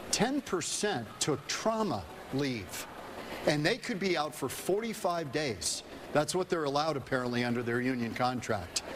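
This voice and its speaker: A man's voice: fairly high pitch, background noise. An American man anchoring television news and talking with a reporter.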